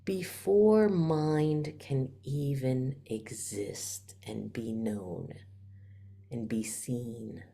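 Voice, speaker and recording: A woman with a very deep voice guides a meditation at an extremely slow pace. Her tone is dark and mellow, and she speaks in hushed, short phrases, leaving long pauses between them. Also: vocal fry. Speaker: meditation teacher. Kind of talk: guided meditation, spiritual talk.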